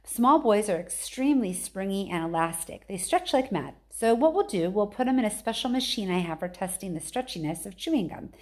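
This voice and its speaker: A woman voicing an eccentric, excitable male chocolate-factory owner in a children's storybook, with polite, breezy, dismissive patter. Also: medium pitch, brisk, few pauses, natural voice. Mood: musing, breezy.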